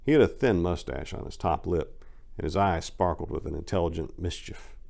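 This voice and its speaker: A man reads a crime story in a low, gravelly voice. The delivery is that of a dramatic audiobook narrator, with audible creak in the tone. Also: moderate pace, a little mellow.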